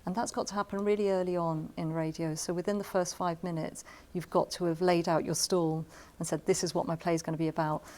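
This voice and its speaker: A woman's voice: fairly low pitch, level tone, brisk, darkish tone. A woman radio drama producer giving explanatory, emphatic writing advice in an interview. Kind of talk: spoken advice to camera in an interview.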